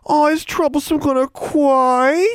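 A man's voice: medium pitch, sing-song, a little fast, vocal fry, breathy edge, no echo, clean studio sound, heavily acted. A man voicing a sneering teenage boy wizard, a scornful, taunting bully in an audiobook.

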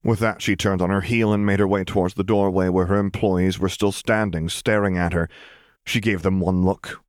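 A man reading scripted fiction as an audiobook narrator, in a measured, dramatic storytelling manner. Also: low voice, fairly even intonation, no room sound, studio quality.

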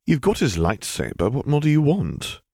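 Man acting playful farm-boy Jedi, lifted pitch, mock-innocent, teasing, breezy, dry audiobook recording. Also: huge pitch swings, normal speed, vocal fry, darkish tone, no echo, full character voice. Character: Skywalker, breezy mischievous farm-boy Jedi master, teasing brother-in-law.

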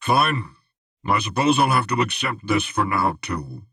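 Sharp, gravelly, sour crime baron, voiced by a man. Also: fairly low pitch, animated, unhurried, no echo, clean studio sound, recorded from a distance, voice pushed up, heavily acted. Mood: grudging. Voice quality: gravelly, rasping. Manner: forceful, scornful. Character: grudging, sour, threatening male crime baron.

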